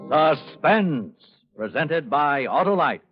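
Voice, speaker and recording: A man's voice: a little high, sing-song, slow. A man announcing a program in dramatic 1950s radio style. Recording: dull old radio broadcast, music behind.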